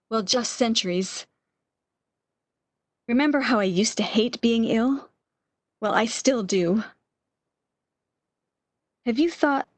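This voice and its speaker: Lady acting a wistful sick woman in bed, highish voice, dry recording, breathless old radio melodrama. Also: no echo. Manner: breathless, emotional, old-fashioned melodramatic phrasing. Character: bedridden lovesick woman, wistful, eager, longing for lost love.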